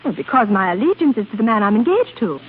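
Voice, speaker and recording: Casting: a flustered, flirtatious prima donna, high fluttery voice, vintage radio play, thin tinny broadcast sound. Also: female voice, sing-song, fast, a little hiss or hum. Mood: flirtatious, evasive. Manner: coy, hesitant, with fluttery little laughs. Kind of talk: 1930s radio drama acting. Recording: tinny, band-limited 1930s radio broadcast with music.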